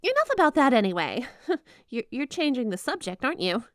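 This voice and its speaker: Woman acting a high-pitched, flirty, clingy yandere wife. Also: big pitch swings, fairly quick, fairly bright tone, dry recording, studio quality. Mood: mock indignant. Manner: playful, coaxing, theatrically dramatic. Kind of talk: scripted ASMR roleplay spoken to the listener.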